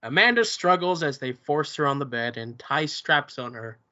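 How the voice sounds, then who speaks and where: A man reading stage directions at a table read, in a plain, even way with small stumbles. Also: on the high side, normal speed. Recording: muffled lo-fi recording.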